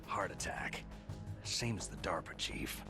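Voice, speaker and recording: A world-weary special forces operative from a video game. His voice is very low, gravelly and breathy, with stern, clipped, commanding delivery. Prominent music plays behind him. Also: animated, unhurried. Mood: guarded. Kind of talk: dramatic video game voice acting. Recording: game audio under background music.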